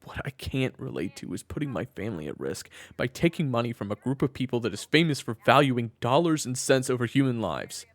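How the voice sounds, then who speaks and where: A man's voice: medium pitch, very animated, a little fast, hardly any pauses, no echo. A man playing a weary, protective family man with a criminal past in an audio drama, both narrating and speaking in a hesitant way. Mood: tense, frustrated. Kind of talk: audio roleplay acting with first-person narration.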